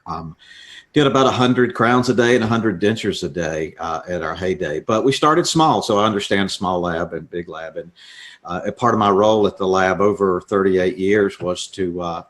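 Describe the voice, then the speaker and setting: A man's voice: lowish voice, a bit bright. An older man, co-hosting a dental webinar in a folksy, upbeat way with frequent fillers. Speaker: dental lab expert and webinar co-host. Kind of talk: casual webinar introduction.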